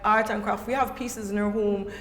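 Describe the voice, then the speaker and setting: A Jamaican woman tells stories in a loose, chatty way, full of fillers and dipping into patois. Her voice sits at a middle pitch. It rings out in a reverberant hall with a long echo. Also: steady tone, normal speed, fairly bright tone. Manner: chatty storyteller, lots of fillers, slips into patois. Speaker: guest, brand co-founder. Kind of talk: casual podcast interview.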